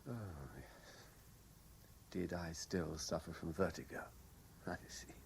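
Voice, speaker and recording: An actor playing an obsessed, lovelorn British man in a 1970s radio drama, speaking with intense, deliberate theatrical delivery. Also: sits low, flat delivery, vocal fry, heavily acted. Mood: bitter realisation. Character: obsessed lovelorn man piecing together a betrayal. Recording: noisy old radio broadcast recording.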